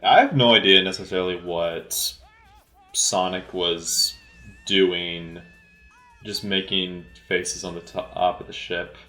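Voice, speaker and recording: A man giving casual, unscripted film commentary in a halting way, often repeating his words. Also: deep voice, steady tone, pushed and bright, faint music behind. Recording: roomy, echoey sound.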